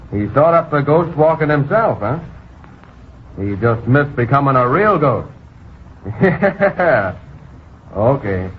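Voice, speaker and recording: An actor playing a brisk, jovial Border Patrol chief in an old-time radio drama. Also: male voice, mid-range voice. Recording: muffled, hissy old radio recording.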